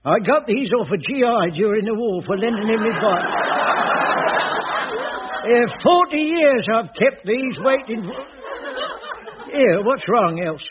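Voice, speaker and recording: An actor playing a bumbling, boastful aging caretaker in a radio sitcom. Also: male voice, very high-pitched, sing-song, slow pace, long pauses, over a noisy crowd, full character voice. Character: fussy aging male caretaker, stingy jobsworth. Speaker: sitcom lead character. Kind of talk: 1980s radio sitcom acting. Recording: hissy muffled old radio broadcast.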